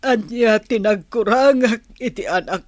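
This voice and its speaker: A woman's voice: fairly high pitch, measured pace, darkish tone, no echo, clean studio sound, lightly acted. A woman with an Ilocano accent playing a worried, heavy-hearted mother longing for her child in a radio drama. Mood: sorrowful.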